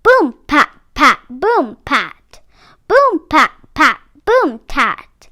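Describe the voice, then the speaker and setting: A woman's voice: high-pitched, sing-song, drawn-out words, bright, forceful tone. A woman narrating a children's picture book expressively, with dramatic phrasing. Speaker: read-aloud storyteller for children.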